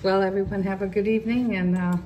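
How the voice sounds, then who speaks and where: A woman's voice: medium pitch, fairly even intonation, fast talker, mellow. An older American woman in casual, unscripted chat. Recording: clear background noise.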